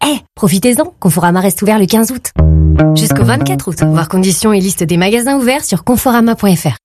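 A woman reading a furniture-store radio ad in a lively, playful manner. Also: mid-range voice, brisk, loud music behind the voice. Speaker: radio ad voice-over. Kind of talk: scripted commercial read.

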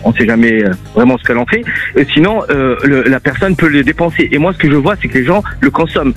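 Fast-talking male caller. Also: a little high, expressive, vocal fry, a bit bright, faint music behind. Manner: rapid, chatty, with fillers. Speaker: caller, restaurant owner. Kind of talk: call-in radio debate.

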